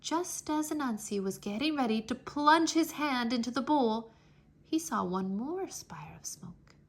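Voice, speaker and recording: A woman reading a children's story aloud with expressive, dramatic storytelling. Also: on the high side, unhurried. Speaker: children's read-aloud narrator.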